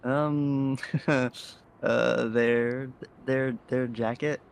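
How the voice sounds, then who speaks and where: A man's voice: mid-range voice, fairly even intonation, drawn-out words, gravelly, clean tone. A man playing a friendly, easygoing leader of a graffiti crew in an audio drama, casual and a little hesitant. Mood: awkward, hesitant. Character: Toast, friendly easygoing faceless graffiti artist, male group leader.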